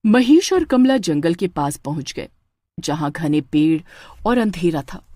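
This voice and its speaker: A woman's voice: on the low side, lively intonation, unhurried, warm tone, no room sound, studio quality. A woman narrating a horror story in a dramatic scripted style. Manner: dramatic, with stammering in character lines.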